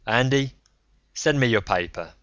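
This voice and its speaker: A man's voice: medium pitch, lively intonation, a little slow, fairly bright tone, dry recording, dull audio, voice pushed up. A man voicing a shrewd, uneducated gambling tycoon in his seventies, with blunt, folksy, ungrammatical speech in an audiobook story. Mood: shrewd, insistent.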